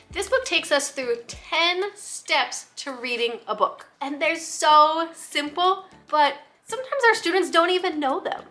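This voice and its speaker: A woman with a high, very bright voice speaks as a teacher in an instructional video. She explains each idea clearly and encouragingly, with music playing behind her. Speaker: teacher giving a lesson to other teachers.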